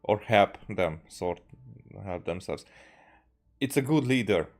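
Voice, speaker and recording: A man from Hungary giving casual game commentary, halting and repeating words as he thinks aloud. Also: fairly low pitch, expressive, soft background music. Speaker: game streamer.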